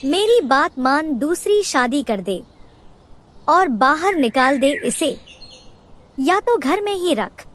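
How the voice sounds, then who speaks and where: A woman's voice: sits high, very animated, slow, pauses often, fairly bright tone, a little hiss or hum, full character voice. A woman voicing a meddling, scheming female neighbour bird in a cartoon, with a gossipy, insinuating manner. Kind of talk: Hindi cartoon character acting.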